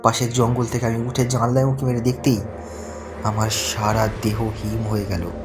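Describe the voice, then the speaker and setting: A man's voice: mid-range voice, little pitch movement, moderate pace, close to an everyday voice. A man playing a frightened young man who tells a horror story in dramatic, suspenseful first-person narration. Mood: fearful suspense. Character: frightened young man recounting his haunted-house visit. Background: music.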